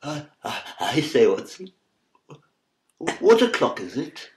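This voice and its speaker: A man's voice: fairly high pitch, huge pitch swings, slow, a little mellow, full character voice. A man playing a wretched, muddled opium addict in an audiobook drama, stammering, whining and repeating himself. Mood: startled, agitated.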